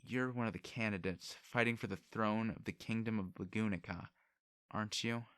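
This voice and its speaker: A man's voice: on the low side, steady tone, moderate pace, a bit bright, clear, resonant tone, no echo, studio quality, lightly acted. A man voicing a stubborn, anxious young commoner who speaks informally and bluntly in an audiobook. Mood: earnest.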